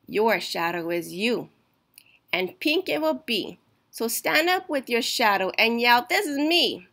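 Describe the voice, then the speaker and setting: Female storytime reader: unhurried, emphatic delivery, slightly high and bright, for rhyming picture books. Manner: slow, deliberate, emphatic storytelling. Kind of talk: expressive read-aloud of a rhyming children's picture book.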